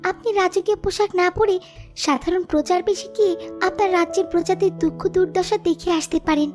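Magical bird for a fairy tale cartoon: extremely high, earnest, coaxing, over prominent music. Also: steady tone, fairly quick. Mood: earnest advising. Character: magical talking bird, gentle wise royal advisor.

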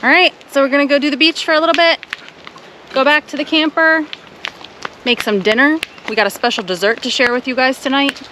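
A fairly high-voiced young woman talks in a chatty, upbeat way as she vlogs a family camping trip, laughing easily. She is recorded outdoors on an action camera, with faint noise behind her. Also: fairly quick. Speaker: vlogger. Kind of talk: casual family camping vlog. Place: outdoors at a beach and park.

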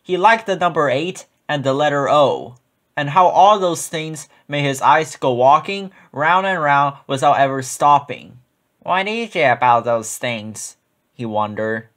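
Animated man reading a storybook. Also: a little high. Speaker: children's book reader. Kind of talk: expressive storybook narration.

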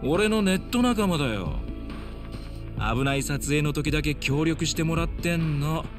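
An actor playing a cocky, mocking young punk livestreamer with slangy, taunting speech in a video game. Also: male voice, a little high, animated, unhurried, music in the background. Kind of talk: dramatic video game acting.

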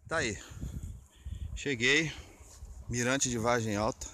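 A man's voice: mid-range voice, takes it slowly, loud music behind the voice. A man in his thirties with a Brazilian Portuguese accent, giving casual vlog commentary into a handheld camera outdoors. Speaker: cycling vlogger. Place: outdoors on rural mountain roads. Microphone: handheld camera at arm's length. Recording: clear outdoor noise, phone or camera mic.

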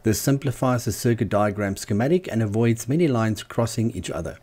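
A man narrating a scripted how-to tutorial as the channel's host, in a measured, explanatory manner. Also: on the low side, mellow. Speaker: host of a DIY loudspeaker channel.

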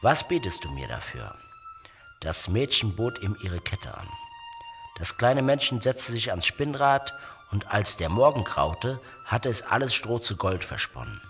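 A man narrating a scripted fairy tale for children as an expressive, dramatic storyteller. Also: on the low side, a little slow, soft background music.

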